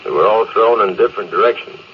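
An actor playing a stern, stoic spaceship captain with a grave, authoritative manner in 1950s radio drama style. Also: male voice, fairly low pitch, quick pace, lightly acted. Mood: steady, reassuring. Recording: old muffled radio recording with light hiss.

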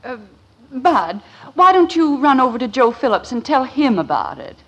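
An actress playing a middle-aged American mother, patient and gently reproving, in a 1950s radio sitcom. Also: high voice, big pitch swings, moderate pace, husky, muffled recording, full character voice. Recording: noisy old radio recording.

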